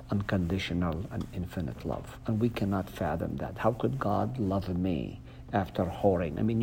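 A man's voice: fairly low pitch, vocal fry, darkish tone, slight background noise. A middle-aged man from Palestine, a pastor whose first language is Arabic, talking earnestly and casually with a group. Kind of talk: casual talk with an audience.

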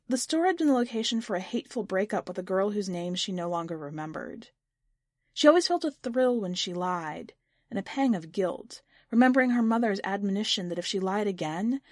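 Woman narrating a short story, scripted literary read, mid pitch, really dry close sound. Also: a little mellow, no room sound. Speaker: podcast editor and story narrator.

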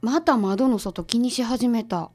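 Sharp detective's assistant lady, kansai accent, fairly high voice, casual and decisive radio drama. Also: measured pace. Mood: brisk, curious.

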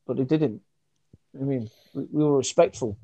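A young man co-hosting a podcast, talking in excitable, sweary banter with frequent quick restarts. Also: medium pitch, dry recording, muffled recording. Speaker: podcast co-host.

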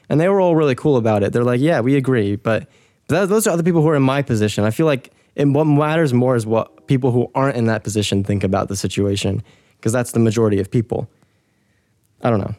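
A man's voice: mid-range voice, quick pace, a little mellow, dry recording, studio quality. A young American man in casual conversation, talking thoughtfully and hesitantly with many fillers and restarts. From United States. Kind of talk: casual chat.

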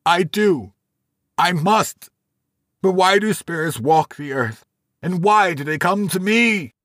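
A man voicing a fearful, stubborn old miser, strained and pleading with a pitched-up voice. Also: animated, slow, fairly bright tone, dry recording, studio quality, exaggerated voice. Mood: terrified.

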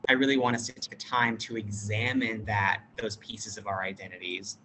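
Non-binary american teacher on a video call, bright voice, lots of ums and restarts, thinking it through. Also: medium pitch, steady tone, normal speed, slight background noise, poor audio. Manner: thoughtful, hesitant, with many fillers and restarts. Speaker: teacher at an international school; workshop facilitator. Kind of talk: casual interview talk.

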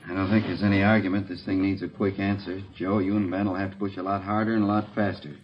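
An actor playing a stern, demanding police captain in a 1950s radio drama, brisk and authoritative. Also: male voice, sits low, level tone, natural voice. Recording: muffled, faintly noisy old radio recording.

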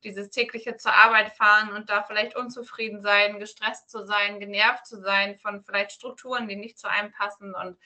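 A woman's voice: mid-range voice, little pitch movement, normal speed, hardly any pauses, sharp tone. A woman from northern Germany answering interview questions as a guest in a casual, chatty, loosely strung way. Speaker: podcast guest, self-employed virtual assistant.

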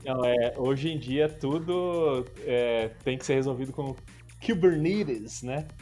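A man's voice: mid-range voice, takes it slowly, dark tone, soft background music. A man with a Brazilian accent on a tech podcast, patiently explaining ideas with frequent tag questions. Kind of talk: casual tech discussion.

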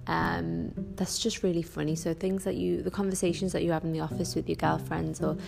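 A woman with a Scouse accent hosting a casual solo podcast, rambling and chatty with many ums and quick self-corrections. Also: fairly low pitch, level tone, creaky, over music. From Northwest England. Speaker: podcast host.

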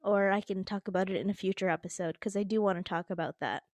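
A young woman from the United States, a bit hoarse from allergies, chatting casually on her own podcast with lots of "like" and little laughs. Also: medium pitch, steady tone, fast, darkish tone, no room sound, lo-fi sound. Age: young adult. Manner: chatty, heavy use of "like", frequent little laughs. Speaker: podcast host. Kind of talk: casual solo chat.

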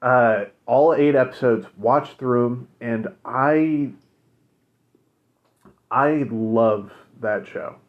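A man co-hosting a podcast, chatting casually and recommending shows, relaxed with many fillers. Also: medium pitch, extremely slow. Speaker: podcast co-host. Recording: phone-like narrow sound.

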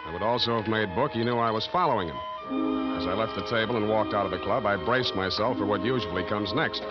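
An American actor playing a wry, cynical private detective who narrates his case in deadpan 1940s radio style. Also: lowish voice, quick pace, natural voice. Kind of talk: 1940s radio detective acting, scripted first-person narration. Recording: dull old 1940s radio recording, loud music.

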